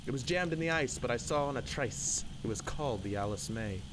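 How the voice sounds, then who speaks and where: A man's voice: medium pitch, expressive, fairly quick. A man giving a theatrical, rhythmic, emphatic recitation of a narrative poem. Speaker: poem reader. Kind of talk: dramatic narrative poetry recitation. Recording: loud background noise and ambience.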